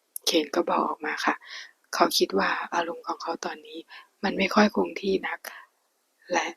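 A woman narrating a novel in a soft, whispering delivery. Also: fairly low pitch, moderate pace, vocal fry, husky, no room sound, poor audio. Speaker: storyteller.

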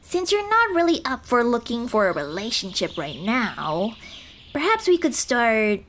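A woman voicing a small, shy, kind-hearted goblin girl in an ASMR roleplay, speaking hesitantly and gently to comfort the listener. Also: fairly high pitch, sing-song, slight background noise. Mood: gentle, timid. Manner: hesitant, timid, soft-hearted, halting. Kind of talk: ASMR roleplay, intimate one-sided dialogue. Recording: birdsong and outdoor ambience behind voice. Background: birdsong, nature ambience.

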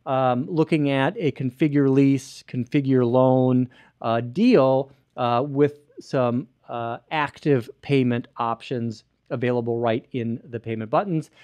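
A man's voice: medium pitch, darkish tone, no room sound. Man hosting, dry close mic. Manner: gestures a lot, many fillers and restarts. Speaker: host. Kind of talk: explanatory web show talk. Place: small studio with screen behind. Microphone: boom-arm podcast mic close to mouth.

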